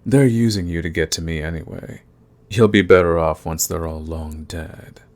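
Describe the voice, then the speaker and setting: A man playing a cocky, menacing villain in an audio roleplay, taunting the listener with smug, unhurried threats. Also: sits low, fairly even intonation. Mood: cool mocking. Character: cocky, menacing male villain, coolly fond of his enemy. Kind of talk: ASMR roleplay acting, addressing the listener.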